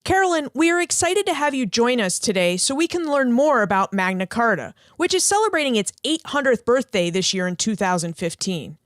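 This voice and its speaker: A woman's voice: on the high side, fairly quick, few pauses, dry recording, clean studio sound. A woman hosting a history podcast, reading her intro and questions in an upbeat, scripted way. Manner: lively, upbeat, reads from script. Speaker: podcast host. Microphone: re-recorded studio voice-over.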